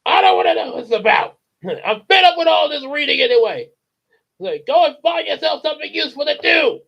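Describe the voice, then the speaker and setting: A man voicing a gruff, bullying father who barks and mocks in a raised, shouting voice. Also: very high-pitched, animated, normal speed, pitched above the performer's own voice, full character voice. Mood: furious. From United States. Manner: barking, shouting, mocking. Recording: dull lo-fi recording.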